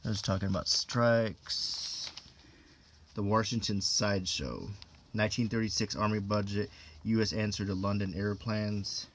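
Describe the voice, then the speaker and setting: Low-voiced man reading aloud, noisy recording. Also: steady tone, measured pace, a bit bright. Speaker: host reading an old newspaper aloud. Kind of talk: unintentional ASMR, unscripted read-aloud with casual asides.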